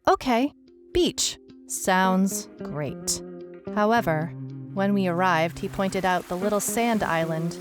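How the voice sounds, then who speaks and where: An actress playing a wry, skeptical private detective, narrating her mystery story in a dry, musing first-person way. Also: lowish voice. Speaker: narrator and lead character of an audio mystery serial.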